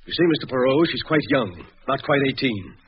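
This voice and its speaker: Man playing a fast-talking worried father, old radio. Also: medium pitch, natural voice. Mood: worried, indignant. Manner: earnest, polite, worried. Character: anxious, indignant middle-aged stockbroker father. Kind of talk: 1940s radio acting. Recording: noisy, muffled 1940s radio recording.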